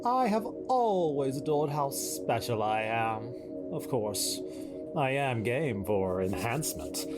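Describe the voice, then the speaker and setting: A vain flirty emotion spirit, super slow playful drawl, loud music behind. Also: medium pitch, very animated. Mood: teasing, smug. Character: Pleasure, vain, flirtatious, teasing personified emotion. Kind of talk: audio drama acting.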